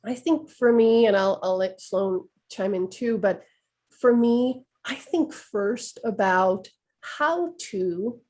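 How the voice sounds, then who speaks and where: A woman's voice: mid-range voice, slow, darkish tone. A woman whose first language is Swedish, speaking thoughtfully on a video call webinar and often restarting as she searches for words. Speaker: presenter on trauma-informed practice. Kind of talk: webinar discussion.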